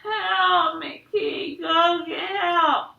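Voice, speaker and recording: A storybook boy in a panic, acted out by a woman, whining and pleading in a high, stretched-out wail. The sound is echoey and picked up from a distance. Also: very slow, vocal fry, highs cut off, exaggerated voice. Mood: pleading, crying. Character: stuck, panicking, whining boy crying for help. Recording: echoey, distant mic, faint noise.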